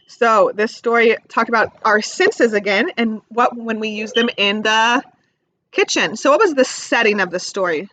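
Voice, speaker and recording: A woman teacher reading aloud to young children, expressively stressing key words and pausing to ask simple questions. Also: fairly high pitch, a bit bright, dry recording, poor audio. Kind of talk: read-aloud lesson with questions to young listeners.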